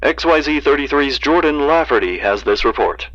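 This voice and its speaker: A man's voice: fairly high pitch, lively intonation, normal speed, lo-fi sound, heavy background noise, lightly acted. A man playing a polished local television news anchor reading a scripted lead-in.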